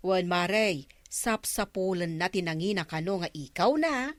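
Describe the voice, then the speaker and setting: A woman's voice: on the low side, normal speed, no room sound, lightly acted. Woman playing a curious Ilocano villager, dry studio sound. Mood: gossipy. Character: curious village woman trading gossip and questions. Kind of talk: radio comedy drama acting.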